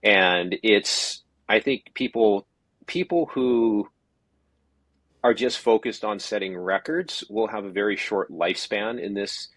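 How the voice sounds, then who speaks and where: A man with a lowish, husky voice talks through his experience in a relaxed, unhurried way. His thoughts wander and he restarts sentences as he goes. The sound is dry and close, with no room around it. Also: dry recording. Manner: thoughtful, rambling with frequent restarts. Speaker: podcast guest, open water swim organizer. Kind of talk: casual interview chat.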